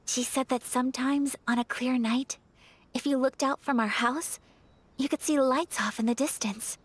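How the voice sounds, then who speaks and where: A woman playing a refined young noblewoman in a video game, gentle and earnest, speaking softly in formal, measured phrases. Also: high voice, steady tone, slightly rough, dry recording. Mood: wistful. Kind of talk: video game character acting. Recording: soft music behind the voice.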